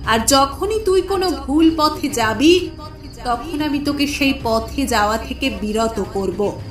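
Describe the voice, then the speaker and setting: A high, unhurried female voice of a majestic mother goddess played by an actress, wrapped in echo. She is tender and solemn, in mythic audio drama style. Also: lively intonation. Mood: tender, serene. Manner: affectionate, solemn blessing. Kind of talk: mythic audio story acting. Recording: echoing voice under loud music.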